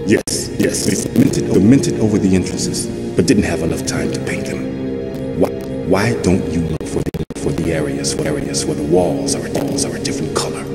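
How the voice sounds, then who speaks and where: A captive middle-aged chief, low-voiced and weary, explaining with grave urgency in game cutscene style. The voice rings through a long reverb while loud music plays behind it. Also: male voice, moderate pace. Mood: informative. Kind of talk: dramatic video game cutscene dialogue. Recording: echoey reverberant room under loud music.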